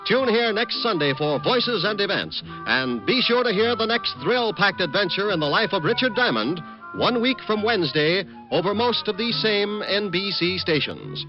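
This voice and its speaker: American male announcer, high and lively delivery, formal old-time radio style, music behind, dull recording. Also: normal speed. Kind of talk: scripted 1950s radio announcing.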